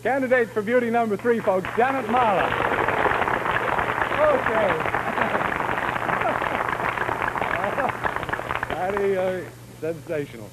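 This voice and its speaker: A man's voice: on the high side, heavy background noise. A man hosting a live television beauty show, jovial and loose, often chuckling. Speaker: TV show host. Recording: old 1950s TV broadcast sound.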